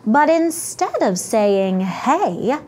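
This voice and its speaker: Slow, expressive woman storyteller. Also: a little high. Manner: clear, deliberate phrasing for young children. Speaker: children's storyteller. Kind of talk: expressive picture-book narration.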